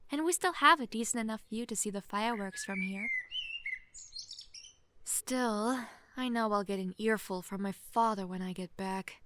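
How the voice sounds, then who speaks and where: A young woman playing a sheltered, lonely princess who is playful, clingy and outspoken, in an expressive, chatty manner for an intimate roleplay audio drama. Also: mid-range voice, moderate pace, close to an everyday voice. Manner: expressive, chatty, swinging from giddy to wistful. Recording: faint background noise, hobbyist home microphone.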